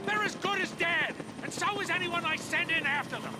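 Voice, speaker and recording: A man's voice: very high-pitched, brisk. A man playing a hard-nosed military commander in a video game, curt and barking. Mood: stern, barking. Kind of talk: video game cinematic acting. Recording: loud music and vehicle noise behind.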